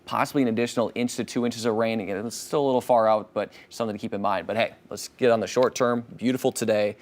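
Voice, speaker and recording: A young man from Minnesota, co-hosting a morning TV show, talking in a quick, rambling, self-deprecating way. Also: on the low side, fairly even intonation. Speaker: meteorologist and co-host. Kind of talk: casual morning show chat.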